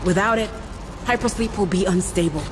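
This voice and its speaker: An actress playing a practical, plainspoken starship chief engineer in a video game, with a wry manner. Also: female voice, medium pitch, a little fast, background noise, natural voice. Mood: wry, matter-of-fact.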